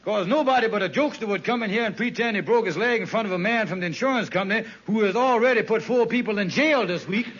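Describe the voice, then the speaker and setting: An actor playing a sly, fast-talking schemer in dialect comedy on a 1950s radio sitcom. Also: male voice, high-pitched, fairly bright tone, a little hiss or hum. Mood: smooth bluffing. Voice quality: dialect comedy speech with loose grammar. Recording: old muffled radio broadcast.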